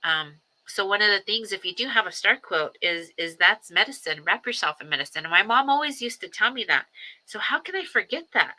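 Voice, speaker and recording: A woman in her forties hosting a casual livestream, speaking in an earnest, rambling way with many ums and restarts, steady through her grief. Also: medium pitch, brisk, low-quality recording. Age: 40s. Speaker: livestream host. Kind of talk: casual reflective livestream talk.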